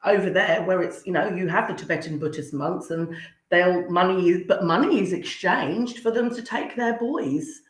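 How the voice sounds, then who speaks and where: A woman's voice: on the low side, normal speed. Blunt woman in an echoey room. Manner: blunt, irreverent, jokey. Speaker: podcast guest. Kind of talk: casual chat. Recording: roomy, echoey sound.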